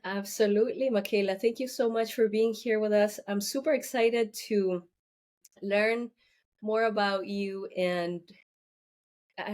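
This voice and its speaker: Slow-speaking woman interview host. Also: mid-range voice, darkish tone. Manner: slow, encouraging, many affirmations. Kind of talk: interview hosting.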